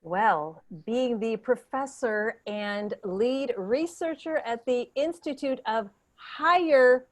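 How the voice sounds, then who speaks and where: A woman's voice: on the high side, slow, hardly any pauses. A woman playing a smug, boastful cleaning researcher with doctorates in an improvised comedy sketch, self-important and mock-modest.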